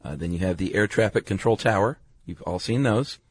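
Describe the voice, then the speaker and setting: Male podcast co-host with a deep voice, laid-back colloquial explainer, recorded close and dry. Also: fairly quick, husky, no room sound. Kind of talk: casual explanatory chat.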